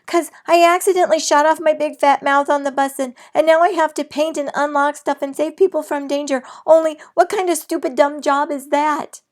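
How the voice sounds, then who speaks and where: A woman voicing an excitable, bossy little kindergarten girl who gets loud and whiny when upset. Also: mid-range voice, moderate pace, gravelly, natural voice. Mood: panicked.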